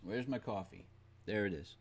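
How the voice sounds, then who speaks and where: A man's voice: on the low side, normal speed, husky. A man in his thirties, chatting casually to himself and his viewers while playing a board game at home. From Boston. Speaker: streamer. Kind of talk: casual game-stream chat. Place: small room at home.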